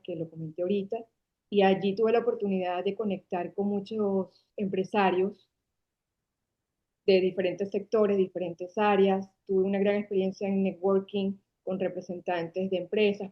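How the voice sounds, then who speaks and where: A woman from Caracas with a Venezuelan accent, in her forties, telling her story at length as an interview guest. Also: mid-range voice. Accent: Venezuelan Spanish from Caracas. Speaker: guest, marketing agency founder. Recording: dull lo-fi sound.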